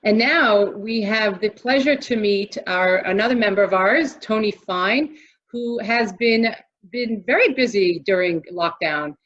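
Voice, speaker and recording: A woman's voice: medium pitch, unhurried, a bit bright. A woman hosting a casual interview, encouraging and enthusiastic, with chatty introductions and questions. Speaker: host. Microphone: video call. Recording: dull lo-fi video call audio.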